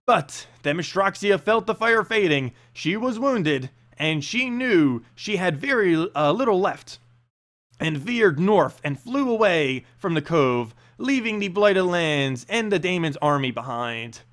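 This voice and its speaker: A man narrating a fantasy story aloud in an expressive, dramatic storybook style. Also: high voice, measured pace. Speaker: narrator and channel host.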